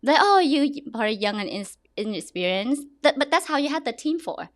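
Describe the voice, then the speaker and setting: A young woman with a Vietnamese accent, a real estate agent talking in a podcast interview, lively and often restarting her sentences. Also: sits high, brisk, dry recording, clean studio sound. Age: young adult. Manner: animated, restarts often, laughs.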